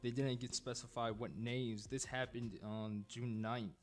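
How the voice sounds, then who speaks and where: A man's voice: medium pitch, little pitch movement, a little slow. A man from New Jersey co-hosting a podcast, speaking matter-of-factly about the news and his own experience. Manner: matter-of-fact, shares news and personal experience. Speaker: podcast co-host. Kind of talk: casual podcast discussion.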